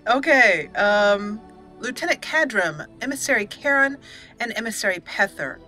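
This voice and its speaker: A woman's voice: on the high side, lively intonation, moderate pace, sharp tone. A woman giving casual let's play commentary, chatting and thinking aloud as she plays. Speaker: gaming channel host and player. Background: game music.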